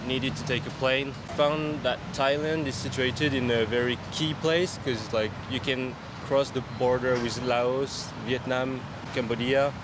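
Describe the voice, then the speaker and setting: A young man speaking calmly and matter-of-factly about his travel route. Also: medium pitch, unhurried, few pauses. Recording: loud street noise.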